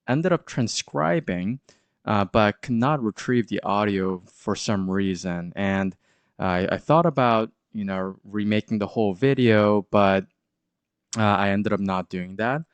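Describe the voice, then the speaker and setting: Congested guy with a sore throat going over crypto charts, lowish smooth voice, kinda halting, dry close mic. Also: no echo. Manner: hesitant, many fillers and restarts. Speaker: crypto channel host. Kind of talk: casual market commentary.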